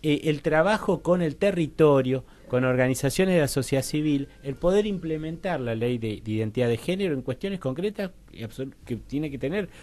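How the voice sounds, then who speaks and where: A man with a Rioplatense Argentine accent talking in a radio panel as a guest professional, halting and reflective, often checking he is followed. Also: a little high, expressive, darkish tone. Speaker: guest professional working in health and diversity.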